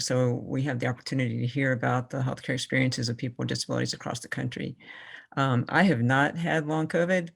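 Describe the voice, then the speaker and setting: A woman's voice: rumbling low, vocal fry, slightly rough. A woman university researcher introducing herself on a panel in a matter-of-fact way.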